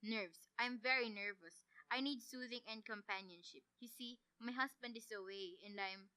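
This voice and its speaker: A woman's voice: a little high, fairly even intonation, normal speed, bright, forceful tone, smooth, no echo. A woman acting as a nervous, demanding invalid wife in an old-time radio thriller, with an urgent, agitated delivery. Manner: imperious, agitated, breathlessly urgent.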